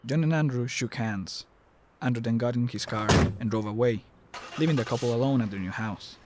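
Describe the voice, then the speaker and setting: Male narrator, medium pitch. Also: brisk, heavy background noise. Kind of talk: scripted story narration.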